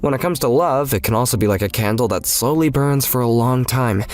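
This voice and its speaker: A man's voice: mid-range voice, fairly quick, a little mellow, faint music behind, lightly acted. A man playing an earnest, overprotective young step-brother who also narrates an anime-style romantic comedy dub.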